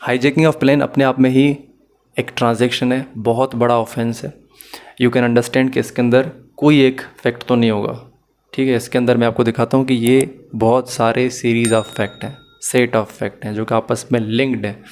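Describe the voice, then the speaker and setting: Even-toned man teaching. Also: mid-range voice, measured pace. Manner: methodical, repeats and rephrases points. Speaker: law teacher. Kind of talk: explanatory online lecture mixing in English phrases.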